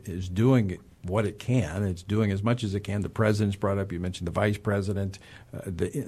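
A man hosting a radio interview, talking in a halting way with many pauses and restarts. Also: low-pitched, darkish tone. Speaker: radio host.

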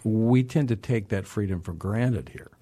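A man hosting a radio interview, talking in a halting way with many pauses and restarts. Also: on the low side, dark tone, slightly rough. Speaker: radio host.

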